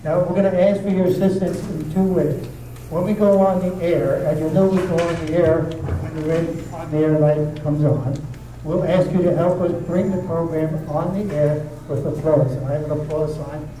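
A man's voice: fairly high pitch, steady tone, moderate pace, dark tone. Casual stage host, male, keeps checking in with the audience; recorded far off in a noisy, very echoey hall. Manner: chatty, checks in with frequent "okay?". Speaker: host introducing a readers theater show. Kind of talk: casual talk to a live audience. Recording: echoey room, distant mic, noisy.

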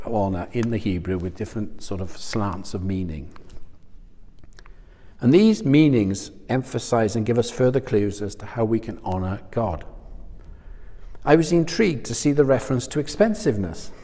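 An older man in his sixties gives a conversational sermon into a lapel mic, his voice sitting low. He often turns to the listeners with questions. A long reverb from the room trails behind his words. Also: a little mellow. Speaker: church speaker. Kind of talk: sermon talk. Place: small church studio room in front of a screen.

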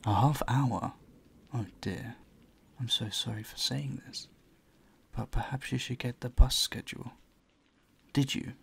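A man playing a courteous, charming vampire in an intimate audio roleplay, soft-spoken, breathy and soothing, often close to a whisper. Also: medium pitch, a little slow, long pauses, full character voice. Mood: concerned, reassuring. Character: gentle, courteous male vampire, charming and doting. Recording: faint ambient noise behind the voice.

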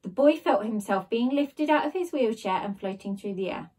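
Woman teacher reading kids book at home, very expressive, bit husky. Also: a little high, normal speed. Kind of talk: expressive storybook reading with casual chat. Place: small bedroom at home, indoors. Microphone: device camera at desk distance.

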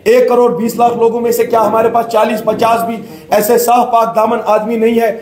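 A man's voice: very high voice, completely flat delivery, fairly quick, a little mellow. A man, a political candidate giving a fervent speech and switching into English phrases. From Kashmir. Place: indoor press room with banners. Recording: echoey room.

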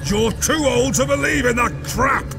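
A man's voice: extremely high pitch, moderate pace, creaky. A man playing a bullying village stepfather. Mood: angry. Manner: angry, shouting. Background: music.

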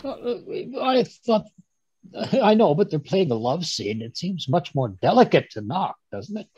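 A man's voice: a little high, sing-song, a little slow, darkish tone, husky, muffled recording, exaggerated voice. A man playing a flustered, bumbling doctor who stammers his way through an old-style radio play. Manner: hesitant, stammering. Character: flustered, bumbling male doctor, a detective's companion. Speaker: actor. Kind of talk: 1930s radio acting.

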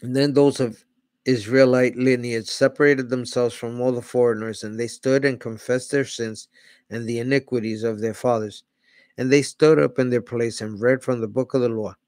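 A middle-aged man reading scripture aloud in a small home studio on a desk microphone, steady, and correcting himself on hard names. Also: mid-range voice, normal speed, no echo. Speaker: Bible reader. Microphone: large studio mic on a desk arm.

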